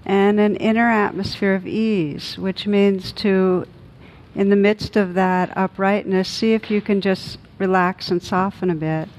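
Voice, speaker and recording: A woman meditation teacher leading a guided meditation in a gentle, soothing manner with long pauses. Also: medium pitch.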